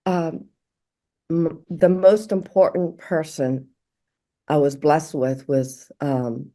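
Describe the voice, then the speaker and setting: Slow-talking woman from morocco, lots of ums, warm reflective tone. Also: low-pitched, flat delivery. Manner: halting, with many fillers and restarts. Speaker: guest. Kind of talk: casual interview conversation.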